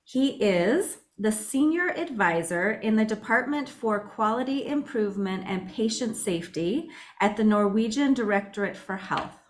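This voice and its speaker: A woman's voice: mid-range voice, expressive, normal speed. A woman hosting a podcast, introducing her guest and encouraging him with frequent murmurs of assent. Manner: encouraging, lots of backchannel murmurs. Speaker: podcast host. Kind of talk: podcast interview.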